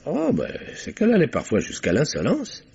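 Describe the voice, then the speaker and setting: Stuffy indignant french uncle, old radio play, swoopy husky voice, muffled sound. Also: male voice, fairly high pitch, wildly swooping pitch, moderate pace, darkish tone, heavily acted. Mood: indignant, haughty. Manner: formal, pompous, self-justifying speech. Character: pompous, indignant, self-righteous older uncle under questioning. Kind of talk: 1950s French radio drama acting. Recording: dull vintage radio recording.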